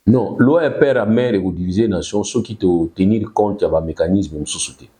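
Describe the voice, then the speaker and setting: Congolese-accented man, political talk guest, mellow and dark, long explanations, mixes French and Lingala. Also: on the low side, brisk. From Congo-Kinshasa. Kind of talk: political talk show discussion.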